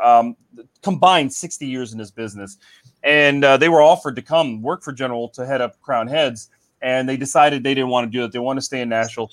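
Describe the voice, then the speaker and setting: A relaxed man from Ohio talks through a story at a medium pitch, calling people "man" as he goes. The sound is dry and close, with almost no room around it. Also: no room sound. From Cincinnati, Ohio, US. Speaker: cigar company guest. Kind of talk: casual podcast chat.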